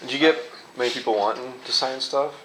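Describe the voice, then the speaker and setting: A man's voice: mid-range voice, quick pace, background noise. A man hosting in a casual, conversational way. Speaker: host. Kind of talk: casual chat.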